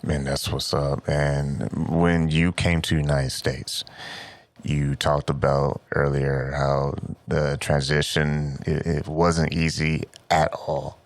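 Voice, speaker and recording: A man's voice: rumbling low, little pitch movement, slow pace, dry recording. A man hosting a radio interview show, chatting with a guest in a laid-back, easygoing way. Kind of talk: casual interview chat.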